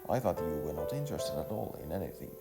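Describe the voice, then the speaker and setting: A man playing a young well-off village daughter in a storybook, defensive and remorseful, speaking haltingly. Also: extremely low pitch, lively intonation, vocal fry, over loud music, exaggerated voice. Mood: apologetic, worried.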